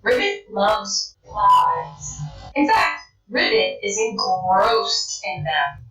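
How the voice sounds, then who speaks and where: A woman acts out a friendly, literal-minded robot from a children's storybook. The speech is slow and formal, yet the pitch moves up and down as it politely explains. The room echoes slightly. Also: wildly swooping pitch, pushed and bright, recorded from a distance, faint music behind, full character voice. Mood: polite, apologetic. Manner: formal, measured, precise. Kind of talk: children's read-aloud character voice.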